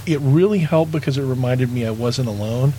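A man's voice: mid-range voice, fairly quick, warm tone, low-quality recording. A man recording an earnest, sincere personal message as a listener of a show. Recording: faint background room noise.

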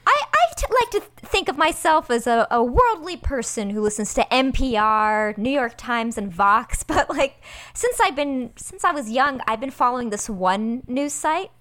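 An American woman chats as a guest on a quiz show. Her voice is fairly high and very expressive, with a giggly, self-deprecating way of talking. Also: moderate pace. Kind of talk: casual comedic chat.

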